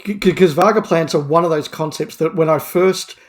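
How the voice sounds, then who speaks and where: A man in a casual podcast discussion, talking as a guest panellist and telling things in an animated way. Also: fairly high pitch, a little fast.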